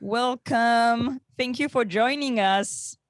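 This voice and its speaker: A woman hosts a relaxed podcast panel at a very unhurried pace. Her phrasing is halting and hesitant, and the sound is close and dry, with hardly any room to it. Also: a little high, no echo. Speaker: podcast host. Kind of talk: casual panel conversation.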